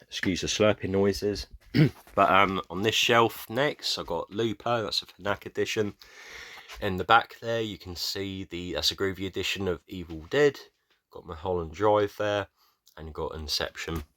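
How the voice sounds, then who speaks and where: A British man giving a rambling, casual tour of his film shelves, hesitant with many fillers, clearing his throat often as his voice goes hoarse. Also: sits low, normal speed, a bit bright, no echo. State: going hoarse, losing his voice. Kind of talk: casual room tour vlog.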